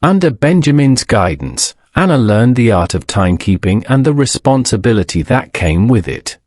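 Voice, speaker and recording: A man reading a scripted bedtime story as a narrator, in a measured, solemn storybook manner. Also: medium pitch, sing-song, a little mellow, no room sound, clean studio sound.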